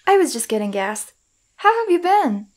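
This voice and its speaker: A woman's voice: high voice, very animated, normal speed, a little mellow. A young woman acting in a comic dub as a protective, outspoken friend, emphatic and insistent. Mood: friendly. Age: young adult.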